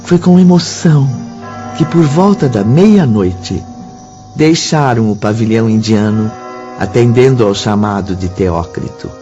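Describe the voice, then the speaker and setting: Radio drama narrator, male, mid-pitched and dark-toned, formal literary delivery, slightly muffled. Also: expressive, unhurried. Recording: muffled sound with music behind.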